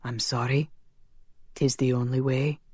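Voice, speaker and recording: A woman's voice: a bass voice, fairly even intonation, moderate pace, a little mellow, dry recording, clean studio sound. A woman voicing a stoic, lovelorn and resolute man with old-fashioned wording in an audiobook, speaking in a subdued manner. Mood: resigned, determined.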